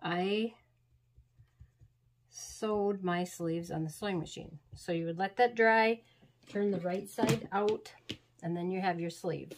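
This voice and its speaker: A woman's voice: fairly low pitch, takes it slowly, long pauses. A woman hosting a craft tutorial, talking through steps in a homey, rambling way, a little sniffly from being sick. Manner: chatty, homey, rambling instructions. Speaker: craft channel host. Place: crafting table indoors.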